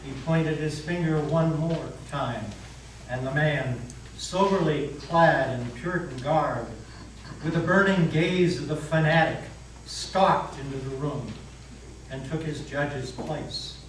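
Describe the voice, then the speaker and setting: A man reads a story aloud as a theatrical narrator, taking his time over the phrases. He is recorded far from the microphone in a highly reverberant hall with clear noise. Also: slow pace. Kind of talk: dramatic reading of a story. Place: meeting house hall. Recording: echoey hall from far off, noisy.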